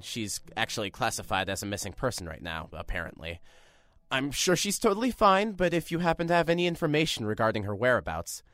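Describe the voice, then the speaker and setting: A man playing a nervous rookie radio host. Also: mid-range voice. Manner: rambling, self-deprecating, lively. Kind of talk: audio drama monologue.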